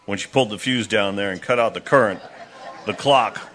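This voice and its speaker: A man's voice: on the low side, fairly quick, a bit bright, close to an everyday voice. A man playing a matter-of-fact police detective. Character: plain-spoken male police detective. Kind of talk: 1940s radio acting.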